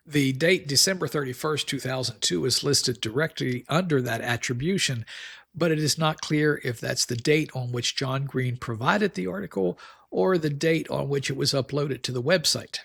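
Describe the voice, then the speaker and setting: Gravelly-voiced man hosting a podcast. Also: mid-range voice, moderate pace, a bit bright, dry recording, studio quality. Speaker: podcast host. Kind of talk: podcast monologue, semi-scripted commentary.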